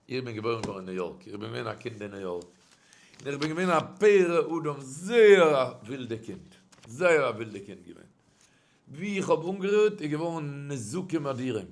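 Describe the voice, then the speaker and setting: A middle-aged man with a Yiddish accent delivers a storytelling sermon. His voice sits at an ordinary male pitch. He keeps swinging between loud, raised phrases and quiet, dropped ones. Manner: animated storyteller, voice rising and dropping sharply. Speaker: rabbi giving a religious talk.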